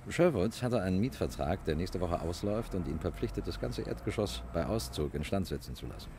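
An actor in a 1960s German radio play playing a dry, wry, matter-of-fact male police investigator who explains things deliberately. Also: sits low, darkish tone, a little hiss or hum. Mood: briskly informative.

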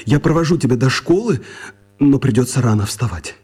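A practical father in a children's radio play. His voice is husky and mid-pitched, and he reasons things out, then yields. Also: animated, brisk, darkish tone. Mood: calm, conciliatory. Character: practical, compliant father of a schoolboy.

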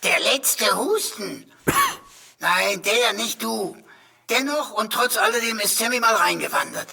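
An actor voicing a vain, chatty little computer sidekick in a radio drama, raspy, florid and theatrical. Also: male voice, sits high, big pitch swings, a little slow, pushed and bright, full character voice. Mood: smug, florid. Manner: florid, theatrical, mock-servile.

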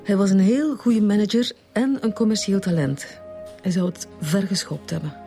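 A woman's voice: medium pitch, normal speed, dark tone, faint music behind, natural voice. An actress playing an earnest female bank deputy in a radio drama, speaking formal, slightly hesitant Flemish Dutch. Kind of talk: naturalistic radio drama dialogue.

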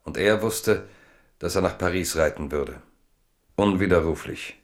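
Deep-voiced man narrating a drama. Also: creaky. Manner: measured, dramatic storytelling. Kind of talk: literary radio play narration.

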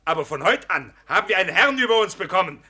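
Forceful gentleman estate owner. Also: high-pitched, lively intonation, fast talker, slightly rough, full character voice. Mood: amused, excited. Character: amused, commanding gentleman ironworks owner. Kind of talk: radio play acting.

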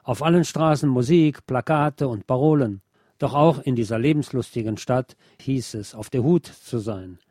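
Mann liest vor, weiche, trockene Stimme. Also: male voice, medium pitch, dark tone, dry recording, clean studio sound. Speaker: radio programme presenter reading a book chapter. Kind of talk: scripted narration, literary reading.

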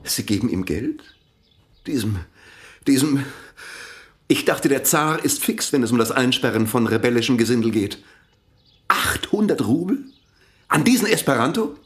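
A blustering village priest in a radio drama, played by a man. His voice is medium in pitch, and he splutters indignantly, thoroughly outraged. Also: expressive, moderate pace, pauses often, lightly acted. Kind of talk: radio play acting.

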